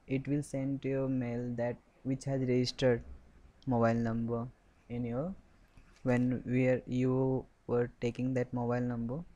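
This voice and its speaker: A man presents a software walkthrough at a very slow pace. His medium-pitched voice stays flat as he instructs step by step. He speaks off the cuff, haltingly, often repeating words. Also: poor audio. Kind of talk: step-by-step screen tutorial, unscripted.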